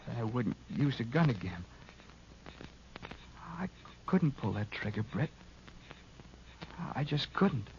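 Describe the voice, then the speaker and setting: Weary American ex-outlaw rancher, remorseful and hesitant, creaky gravel in the voice, faintly noisy old radio Western. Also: male voice, mid-range voice, sing-song, takes it slowly, long pauses, a little mellow. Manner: hesitant, earnest, confessional. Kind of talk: 1950s radio Western acting. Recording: dull, noisy 1950s radio recording.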